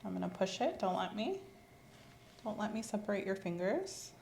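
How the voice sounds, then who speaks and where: A woman hosting an ASMR physical exam roleplay, giving calm, step-by-step instructions in a soft voice. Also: mid-range voice, normal speed, gravelly. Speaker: ASMR host performing an exam. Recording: roomy with faint hiss.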